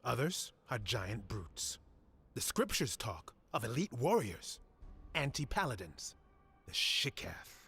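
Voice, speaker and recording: An actor playing a grave scholar in a fantasy game, speaking in a solemn, deliberate, explanatory way. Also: male voice, mid-range voice, very animated, vocal fry, a bit bright, breathy edge, heavily acted. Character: grave male scholar briefing adventurers on ancient monsters. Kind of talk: scripted fantasy game cutscene acting.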